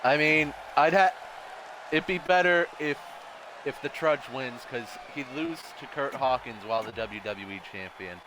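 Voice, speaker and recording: A man hosting a gaming video with casual, rambling commentary, repeating himself and swinging between muttering and shouting. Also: mid-range voice, measured pace, crowd noise, background noise.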